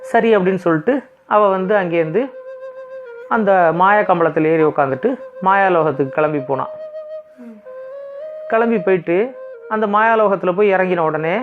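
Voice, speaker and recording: A man narrating a children's bedtime story informally, in simple conversational language. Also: high voice. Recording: thin phone-like sound, music behind.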